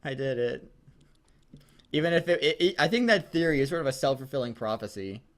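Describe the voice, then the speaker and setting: A man's voice: medium pitch, animated. Rambling man in casual joking chat. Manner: rambling, halting, stammering. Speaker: co-host of a fan fiction reading show.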